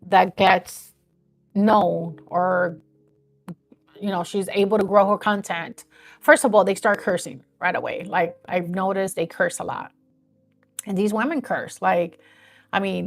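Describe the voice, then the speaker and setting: A woman's voice: mid-range voice, measured pace, gravelly, pauses often, faint music behind. A woman in her fifties chatting casually and earnestly on a live stream, rambling a little as she talks about growing her page. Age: 50s.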